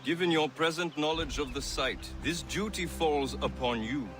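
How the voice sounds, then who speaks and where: An actor playing a stern, formal mentor and leader of a secret order, grave in manner, in a game cutscene. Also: male voice, fairly high pitch, normal speed, close to an everyday voice. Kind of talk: video game cutscene acting. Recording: cinematic music behind.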